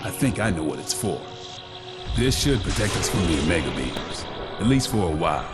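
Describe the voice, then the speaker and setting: A man playing a confident, steady superhero in a video game, assured and plain-spoken. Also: low-pitched, normal speed, over loud music.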